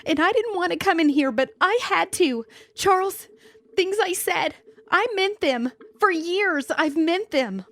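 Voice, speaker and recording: Lady playing worn-down nervy wife in old radio horror play, high voice, pleading and bitter, very dramatic. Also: lively intonation, a little slow, exaggerated voice. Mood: anxious, insistent. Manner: pleading, emotional, melodramatic. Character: frightened, bitter, long-suffering middle-aged wife.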